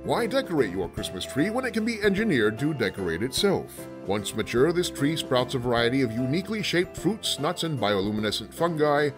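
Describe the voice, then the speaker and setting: Deadpan man with dry asides. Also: mid-range voice, fairly quick, hardly any pauses, music in the background. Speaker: comedy sketch co-host.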